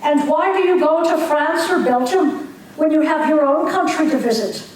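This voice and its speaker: A woman's voice: sits high, moderate pace, a little mellow, close to an everyday voice. An older woman acting a spirited young Irish nationalist in an amateur stage reading, earnest and needling. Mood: fervent. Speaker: community theater actor. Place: community stage hall. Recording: echoey hall, mic far off, faint hiss.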